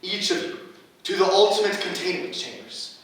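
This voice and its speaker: A pompous, ancient cyborg archmage acted by a man declaims in a stage drama with grand, villainous theatricality. The voice is fairly high for a man. It is heard from a distance in a very reverberant room. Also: lively intonation, normal speed, fairly bright tone. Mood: exasperated, bossy. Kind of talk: staged reading of a play. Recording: very echoey room, distant mic.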